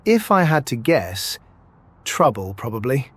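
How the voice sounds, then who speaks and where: A man's voice: medium pitch, very animated, a little slow, breathy edge, no echo. An actor playing an easygoing, flirtatious male field doctor in an audio drama, wry and laid-back. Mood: wry, relaxed.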